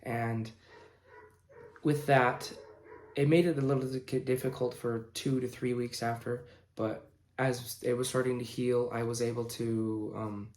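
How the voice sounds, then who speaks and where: A young man in his late teens tells a personal story in a casual, informal style. His delivery is halting, with pauses in the middle of sentences. His medium-pitched voice sits in a roomy, echoing indoor space. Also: level tone, darkish tone. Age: young adult, late teens. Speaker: vlogger telling own story.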